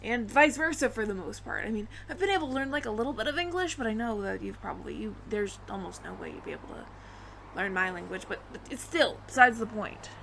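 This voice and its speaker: A woman's voice: a little high, expressive, fast talker, vocal fry, a bit bright, slight background noise. A woman voicing a friendly, flustered alien roommate who passes as human, speaking to the listener in a hesitant, stammering, earnest ramble in an audio roleplay. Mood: nervous, earnest.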